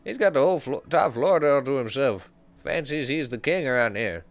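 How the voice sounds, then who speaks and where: A man voicing a wary old man in a slum who gossips in a folksy, gruff way. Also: mid-range voice, animated, moderate pace, lightly acted.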